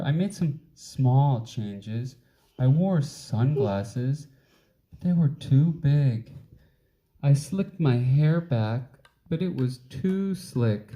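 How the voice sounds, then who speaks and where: Man reading as a mellow, wistful young bean. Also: mid-range voice. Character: uncool young male bean, self-deprecating, wistful narrator. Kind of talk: children's picture book read aloud.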